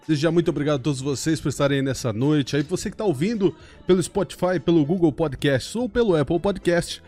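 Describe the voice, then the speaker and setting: A man's voice: fairly high pitch, quick pace, mellow. A man hosting a podcast in a friendly, playful way, reading out plugs and asking a guest questions. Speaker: podcast host.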